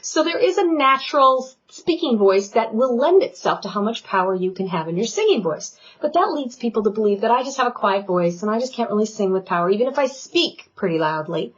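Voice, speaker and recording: A woman vocal coach giving an online singing lesson, talking in a loud, animated and playful way. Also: fairly high pitch, fairly quick, hardly any pauses. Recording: dull lo-fi sound.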